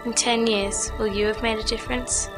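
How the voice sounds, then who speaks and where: A woman reading a scripted church video voice-over in a steady, plain way. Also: on the high side, normal speed, rough recording. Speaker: narrator. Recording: under loud music.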